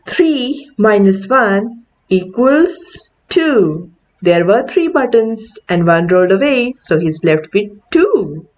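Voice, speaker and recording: A woman reads a children's picture book aloud at an unhurried pace. Her pitch moves up and down in an animated way, with a teacherly delivery. The recording sounds a little dull and lo-fi. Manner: deliberate teacherly delivery with questions and counting. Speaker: children's read-aloud host.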